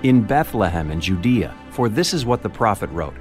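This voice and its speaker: Solemn learned priest, lively swings, low voice, declaiming scripture. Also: male voice, normal speed. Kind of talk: dramatized audio Bible acting. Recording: faint music behind the voice.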